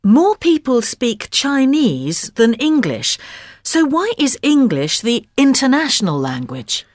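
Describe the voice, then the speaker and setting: Curious woman with lively intonation. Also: high-pitched, takes it slowly, no room sound, clean studio sound. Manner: curious, questioning. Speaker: question asker in textbook audio. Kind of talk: scripted dialogue.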